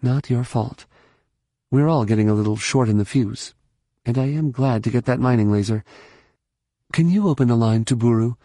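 A man's voice: mid-range voice, normal speed, pauses often, mellow, no room sound, studio quality, close to an everyday voice. A man voicing a weary, short-tempered woman leader. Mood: exasperated.

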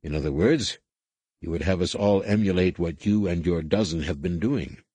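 Man reading as calm gentle older master, measured, mild, low and quiet, dry sound. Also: breathy edge, no echo.

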